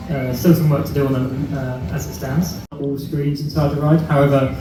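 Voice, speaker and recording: A man giving a presentation and answering questions, halting and full of fillers. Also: medium pitch. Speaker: park presenter. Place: large echoey venue. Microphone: far from the recording camera. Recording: echoey distant room recording with noise.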